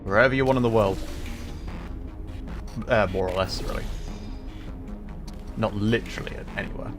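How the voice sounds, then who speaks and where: A man's voice: on the low side, normal speed, music in the background. A man livestreaming a game with casual, enthusiastic commentary, musing and explaining as he goes.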